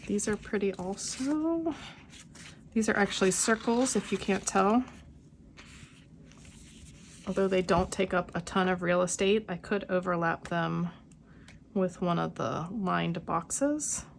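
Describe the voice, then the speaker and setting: A middle-aged woman with a cold and a slightly hoarse voice, musing aloud in a casual plan-with-me vlog at home. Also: medium pitch, a little hiss or hum. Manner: unhurried, musing aloud while crafting. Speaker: planner vlogger. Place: quiet home.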